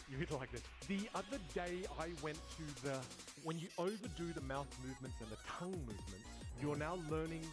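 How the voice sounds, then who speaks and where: A man who grew up speaking Vietnamese, coaching in an earnest, motivational way about speaking English clearly, in a played clip. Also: fairly high pitch. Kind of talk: instructional social media clip.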